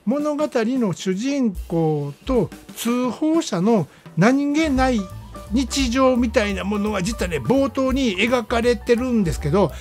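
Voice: medium pitch, lively intonation, slow pace, darkish tone. Kansai-accented radio host over music. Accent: Kansai Japanese. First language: Japanese. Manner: conversational, explanatory, frequent "ne" tags. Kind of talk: casual movie review monologue. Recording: music playing behind the voice. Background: background music.

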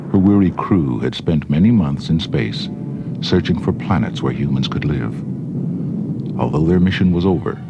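A man's voice: very deep voice, expressive, moderate pace, highs cut off. A man narrating a children's read-along story from a script. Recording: band-limited old record, music underneath.

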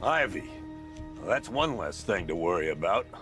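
A man's voice: low-pitched, very animated, normal speed, over music. A man playing a harried, blunt city lawman in a video game, emphatic and exasperated.

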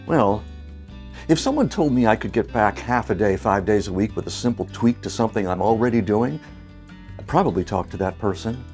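A man in his fifties hosting a marketing show, speaking to camera in a playful, lively, gently joking way on a lapel mic in a studio. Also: on the low side. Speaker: marketing coach and show host. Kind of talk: scripted talk to camera. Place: bright studio set.

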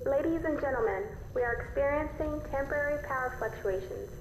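A courteous female announcer makes formal notices. The sound is echoey and clearly noisy, like a big venue's public-address system. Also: sits high, a little fast. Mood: calm, courteous. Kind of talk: scripted public announcement in a game.

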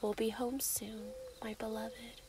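Woman acting a slow, breathy, obsessive werewolf lover. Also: on the high side, little pitch movement, darkish tone, slight background noise. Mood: coaxing, satisfied. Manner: breathy half-whispers, cooing, menacing. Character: obsessive, possessive young female werewolf in love. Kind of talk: ASMR yandere roleplay, intimate.

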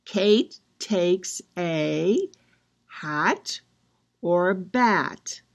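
Very slow, patient woman teacher. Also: lowish voice, creaky, darkish tone. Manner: very slow, patient, drawn-out instructions. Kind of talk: guiding children through a worksheet.

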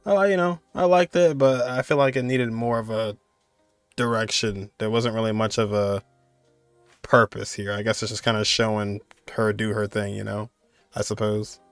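A man's voice: on the low side, fairly even intonation, normal speed, no echo, faint music behind. A young man giving casual, laid-back reaction commentary, thinking aloud in loose conversational phrases. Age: young adult. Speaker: reaction video host.

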